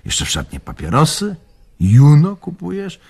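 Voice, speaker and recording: An actor playing an animated, teasing old man who reminisces theatrically in a radio drama. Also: fairly high pitch, unhurried, gravelly, husky, exaggerated voice. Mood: admiring, dramatic. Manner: theatrical, expansive storytelling.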